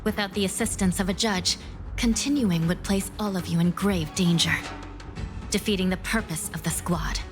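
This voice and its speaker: A woman voicing a composed, dutiful judge in an anime-style video game, calm, formal and measured. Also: on the low side, soft background music, close to an everyday voice. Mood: grave, concerned.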